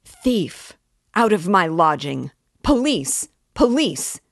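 A woman reading an audiobook voices an enraged landlady who yells in broken, foreign-sounding speech. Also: lowish voice, very animated, slow pace, dry recording, studio quality, pitched above the performer's own voice, full character voice. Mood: furious. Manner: yelling, broken grammar.

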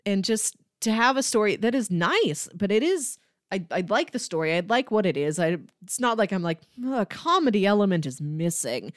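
Woman podcaster w a lowish voice that moves around a lot, dry close sound, just chatting thru her opinions. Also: no room sound. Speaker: podcast co-host reviewing comics. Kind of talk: casual book discussion.

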